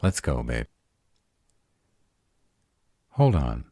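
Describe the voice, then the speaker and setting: A man playing a protective, grumpy were-dragon boyfriend in a fantasy audio drama, gruff but deferential to authority. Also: rumbling low, big pitch swings, extremely slow, dark tone, no room sound, clean studio sound, exaggerated voice. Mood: protective, wary.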